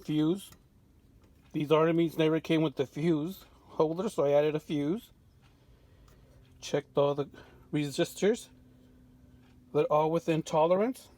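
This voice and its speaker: A man giving an unscripted show-and-tell of his restoration work in a home workshop, relaxed and full of fillers and restarts. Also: on the high side, unhurried, pauses often, no echo. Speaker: vintage radio restorer.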